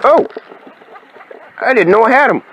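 A young man out in a small boat on a foggy lake, filming himself with a handheld camera as he fishes. He talks slowly and calmly between casts, then his voice swings up high and loud with whoops when a fish strikes. Faint noise sits under the recording. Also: low-quality recording. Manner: excitable, whoops and laughs at strikes. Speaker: fishing vlogger. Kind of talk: excited fishing commentary.

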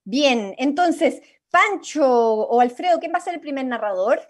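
A woman's voice: high voice, expressive, unhurried. A young woman with a Chilean accent, guiding a workshop on a video call in an encouraging, informal way. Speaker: radio theatre workshop leader. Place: indoors at home, on a video call.

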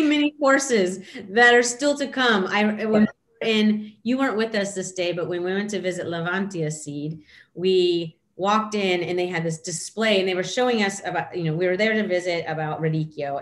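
A middle-aged woman hosting a casual video call chat, talking in a chatty, animated way with lots of asides. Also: lowish voice. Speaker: host. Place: home room indoors. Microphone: video call, webcam.

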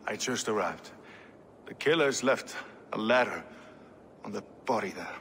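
An actor playing a hard, cynical medieval warrior in a game cutscene, with a blunt, wry and sceptical way of talking. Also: male voice, fairly low pitch, animated, a little slow, a bit bright. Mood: wary. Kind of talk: dramatic game cutscene acting. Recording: reverberant hall, faint ambient noise.

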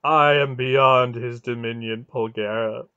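Huge angry grieving god for an audiobook, booming archaic threats, gravelly, kinda muffled audio. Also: male voice, steady tone, a little slow, no echo. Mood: bitter grief. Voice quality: loud, roaring, howling. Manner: archaic, grandiose, menacing speech. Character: vast male weeping god, wrathful, grieving, vengeful.

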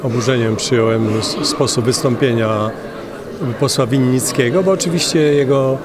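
An older man, a member of parliament, answering interview questions haltingly and with many filler sounds. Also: mid-range voice, fairly even intonation, over a noisy crowd. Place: large indoor parliament hall. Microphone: handheld interviewer mic.

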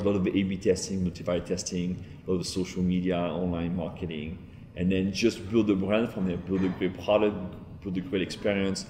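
A man with a French accent speaks in a low, grounded voice, steady and fluent with the occasional um and repeat. He sits in a large open-plan office, and the sound rings with a long, roomy reverb. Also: a little hiss or hum. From France. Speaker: entrepreneur guest. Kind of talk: seated interview, telling his story.

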